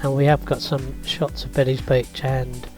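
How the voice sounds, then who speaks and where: A man, hoarse and croaky from being sick, casually narrating a road trip and drone flight with easygoing fillers. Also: medium pitch, flat delivery, moderate pace, darkish tone, soft background music. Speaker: vlog host. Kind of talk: casual travel vlog narration.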